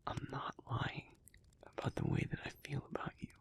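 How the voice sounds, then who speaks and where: A man playing an earnest, analytical demon in an intimate audio roleplay, speaking in a hushed, breathy, measured and deliberate way. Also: whispered, natural voice. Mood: pleading, tender. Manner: measured, deliberate, halting, formal wording. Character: earnest, analytical male demon, gently devoted and restrained.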